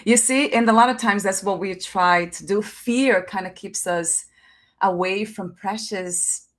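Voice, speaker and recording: A woman's voice: mid-range voice, unhurried. A woman hosting an interview, thoughtful and affirming, musing aloud between her guest's stories. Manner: thoughtful, affirming, frequent listening murmurs. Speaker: host. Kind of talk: reflective interview conversation.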